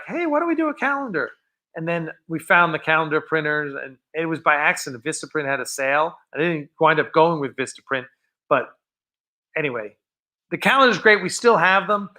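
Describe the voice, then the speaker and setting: A man hosts a live fan stream in a bright, forceful voice that sits a little high. He chats informally and rambles as he takes questions from viewers. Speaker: host of a fan film livestream. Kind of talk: casual live chat, answering viewer questions.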